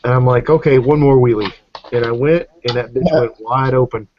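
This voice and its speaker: Man in his forties, mid-pitched voice, muffled dry audio, joking and cursing. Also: a little fast, a little hiss or hum. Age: 40s. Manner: joking, swears freely, laughs often. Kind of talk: casual chat. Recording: muffled lo-fi live stream audio.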